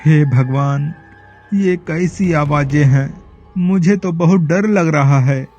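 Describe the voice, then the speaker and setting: A man playing a poor, timid farmer and devoted family man in an animated story, speaking earnestly. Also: a little high, takes it slowly, muffled recording, soft background music. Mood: fearful. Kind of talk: Hindi animated moral story acting.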